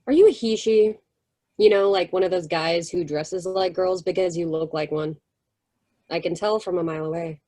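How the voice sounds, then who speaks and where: A woman's voice: fairly low pitch, fairly even intonation, moderate pace, dark tone, lightly acted. A woman voicing a catty teenage girl who mocks a classmate. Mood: mocking. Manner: catty, mocking.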